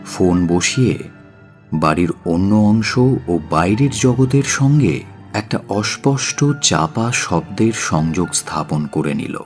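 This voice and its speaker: A man narrating a dramatic audio story in a measured, solemn and expressive way. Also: lowish voice. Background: faint music.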